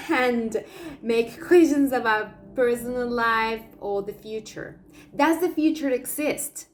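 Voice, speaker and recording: A woman whose first language is Spanish speaks English at an unhurried pace, often stopping on fillers. She poses one rhetorical question after another as she invites listeners to a course, and soft music plays faintly underneath. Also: mid-range voice. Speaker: Akashic Records teacher. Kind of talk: invitational video monologue.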